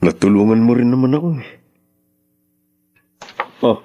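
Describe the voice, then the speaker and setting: A man's voice: mid-range voice, lively intonation, measured pace, mellow, no room sound, faint music behind, close to an everyday voice. An actor playing a kind, generous male friend in a Filipino radio drama. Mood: concerned, kind.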